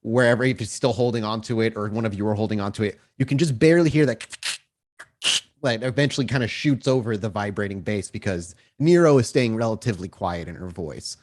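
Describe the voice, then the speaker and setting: A man's voice: on the low side, a little fast, a little mellow. A man running a tabletop game, improvising scene descriptions in a conversational, descriptive way. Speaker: game master.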